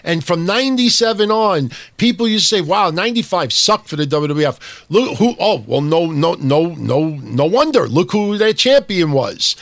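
A man hosting a wrestling podcast, his fairly high voice a little hoarse from days of talking. He talks in an emphatic, quick way and jumps in over his co-host, and the close mic leaves the sound dry. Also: big pitch swings, dry recording. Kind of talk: casual wrestling podcast chat.

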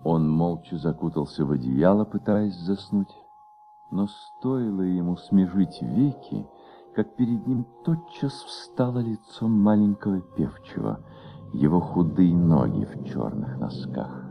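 A man narrating a radio play as a sombre, measured literary storyteller. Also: deep voice, expressive, vocal fry, exaggerated voice. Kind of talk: scripted radio drama narration. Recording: muffled old radio recording. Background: music.

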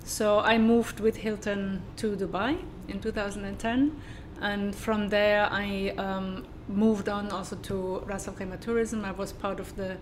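A woman's voice: mid-range voice, little pitch movement, a little slow, a little hiss or hum. A woman from southern Germany with a German accent, speaking as a guest in a casual interview and talking hesitantly with many fillers and restarts. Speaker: guest, sustainability consultant.

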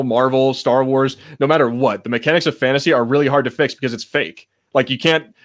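A man with a mid-range voice chats as a podcast co-host. He rambles informally, with plenty of slang and the odd swear word. The style is loose pop-culture conversation. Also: medium pitch. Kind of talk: casual pop-culture chat.

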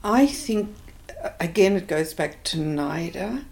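Older Australian woman, low and gravelly. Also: expressive, measured pace, darkish tone. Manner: reflective, hesitant with many fillers. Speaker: interviewee. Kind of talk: oral history interview.

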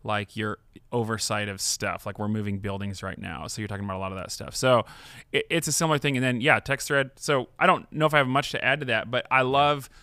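A man's voice: medium pitch, sharp tone, clear, resonant tone, dry recording. A male pastor co-hosting a podcast, talking casually and enthusiastically with slang. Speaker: pastor, co-host.

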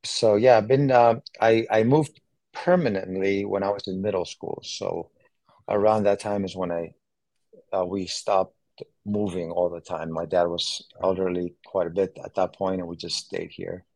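A man in his forties, born in Greece, chatting as an interview guest and often pausing on fillers and restarts. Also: deep voice, steady tone, darkish tone, dry recording. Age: 40s. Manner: halting, many fillers and restarts. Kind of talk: casual interview chat.

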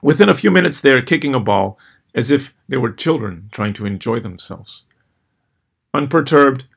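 Middle-aged man, slightly creaky husky voice, scripted storytelling, wry measured delivery, deliberate pauses. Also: mid-range voice, a bit bright. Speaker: host and author reading personal stories. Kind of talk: scripted first-person storytelling.

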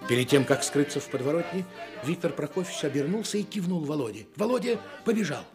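A man's voice: fairly high pitch, animated, fast, music in the background, close to an everyday voice. A man playing the narrator of a radio adventure play, telling the story in dramatic scripted narration. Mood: suspenseful.

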